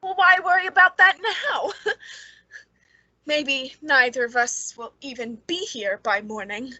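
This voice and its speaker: A woman's voice: high-pitched, measured pace, sharp tone, highs cut off, slight background noise, heavily acted. A woman playing a lonely, frightened, unstable spinster in an old-time radio suspense drama, with a nervous, intense manner. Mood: anxious, eerie.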